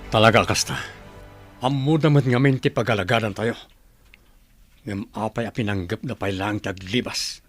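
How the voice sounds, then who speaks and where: Actor as stern rebel leader, dry sound. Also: male voice, lowish voice, moderate pace, pauses often, no echo, soft background music, natural voice. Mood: cold, suspicious. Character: stern, calculating leader of armed group. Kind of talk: radio drama acting.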